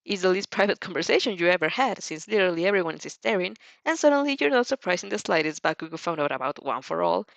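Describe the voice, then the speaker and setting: A woman reading fan fiction aloud as an expressive narrator who also does character voices. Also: on the low side, quick pace, vocal fry, few pauses, no room sound. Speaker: fan fiction audio reader.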